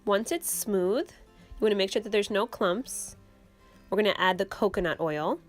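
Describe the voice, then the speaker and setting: A woman's voice: medium pitch, normal speed, faint music behind. Young woman explaining a recipe at home. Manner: relaxed, explaining step by step with fillers. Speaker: channel host. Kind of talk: casual how-to vlog. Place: home kitchen.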